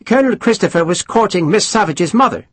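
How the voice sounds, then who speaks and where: Man playing a mild curious officer, lifted pitch, husky, dry sound. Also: lively intonation, fast talker, dry recording. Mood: probing.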